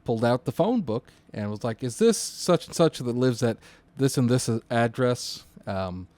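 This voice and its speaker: A man in casual podcast conversation as a co-host and dispatcher, informal and halting as he thinks aloud. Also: mid-range voice, animated, darkish tone, dry recording.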